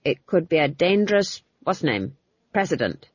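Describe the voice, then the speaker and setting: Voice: mid-range voice, very animated, moderate pace, breathy edge, no room sound, highs cut off. A narrator voicing a blunt, stern old witch woman with a dry, commanding manner. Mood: dry, brisk.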